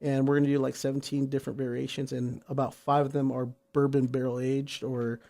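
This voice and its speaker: A man's voice: medium pitch, flat delivery, brisk, gravelly, warm tone. A man from San Diego, a brewery guest on a radio show, talking eagerly and repeating words for emphasis. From San Diego, USA. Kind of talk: casual radio interview. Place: radio studio.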